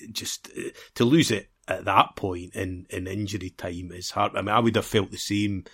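Guy with a lowish voice doing casual football podcast chat, kinda rambling, circles back on phrases. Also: fairly even intonation, moderate pace, fairly bright tone, slightly rough.

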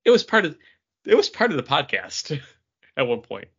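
A man hosting a casual podcast, chatting and bantering in a jokey, animated way, laughing readily. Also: on the high side, moderate pace, husky, lo-fi sound. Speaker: podcast host.

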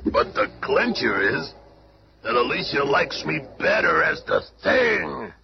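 An actor playing a gruff, soft-hearted tough-guy hero with streetwise slangy talk in an old radio serial. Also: on the high side, sing-song, slow pace, full character voice. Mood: kindly. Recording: muffled, noisy old radio recording.

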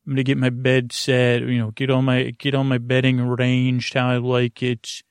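A man with a middle-pitched, gravelly voice hosts a bedtime podcast in a very dry-sounding recording. He rambles haltingly, breaking off his own sentences and wandering from one digression into the next. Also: monotone, dry recording. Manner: meandering, halting, self-interrupting with trailing digressions. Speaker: host of a sleep podcast.

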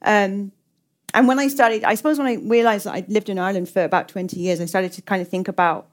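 A woman's voice: medium pitch, fairly even intonation, fast talker. A middle-aged woman with an English accent giving reflective interview answers as a writer, hesitant and often repeating and restarting her words. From England.

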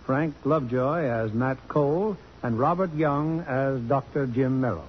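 A man's voice: medium pitch, a little slow. A man reading a scripted introduction as a 1950s radio drama announcer. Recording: dull old radio broadcast, music behind.

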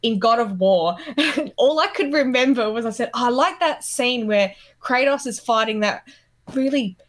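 A woman co-hosting a casual podcast chat, self-deprecating and quick to giggle as she talks. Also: on the high side. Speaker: podcast co-host.

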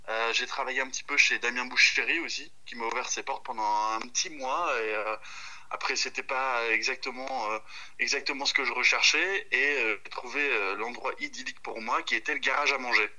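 Very bright-voiced man, hesitant and informal. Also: medium pitch, rough recording. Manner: hesitant, informal, thinking aloud. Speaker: chef, podcast guest. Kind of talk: casual chat over the phone. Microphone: phone call.

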